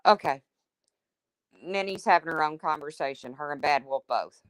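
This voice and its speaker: A woman with a deep, husky smoker's voice hosting a livestream, reading chat comments and answering them in a blunt, chatty way. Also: moderate pace, no echo. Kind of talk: casual chat with viewers.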